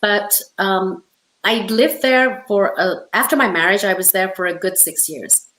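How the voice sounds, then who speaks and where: A woman's voice: mid-range voice, unhurried, fairly bright tone. A middle-aged woman from Hong Kong, an author talking as an interview guest in an emphatic, conversational storytelling way. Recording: roomy, echoey sound.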